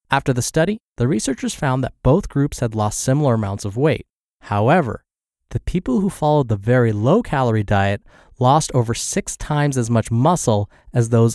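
A man's voice: medium pitch, darkish tone, no echo, studio quality. A man hosting a podcast and reading a health blog aloud, sounding congested and scratchy from the flu. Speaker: podcast host and narrator.

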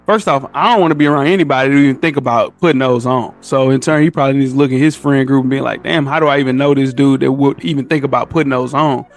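A man's voice: medium pitch, fairly even intonation, fast, few pauses, soft background music. A man chatting casually on a podcast, opinionated and emphatic, using slang and repeating his points for stress. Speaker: podcast guest.

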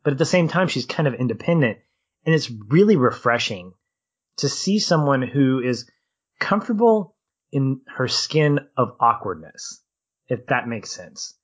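A man from Arkansas who dropped his Southern accent talks in a casual film discussion on a podcast. He is animated, laughs easily and often restarts his sentences. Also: mid-range voice, measured pace, husky, dull audio.

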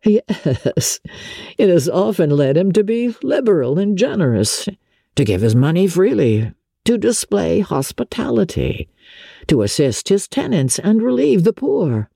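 A woman plays an aggrieved young gentleman from a classic novel. His voice is mellow and a little husky, close and dry. He speaks in a measured, confiding way, with mournful polish. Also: on the low side, sing-song, no echo, voice pushed up, heavily acted. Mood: measured, reflective. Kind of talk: dramatic audiobook reading.

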